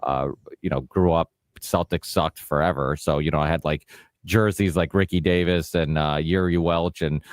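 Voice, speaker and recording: Very deep-voiced Portuguese-born host. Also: male voice, steady tone, normal speed, no echo, studio quality. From northern Portugal. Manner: easygoing, chatty, many fillers. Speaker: podcast host. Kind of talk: casual interview chat. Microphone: video call.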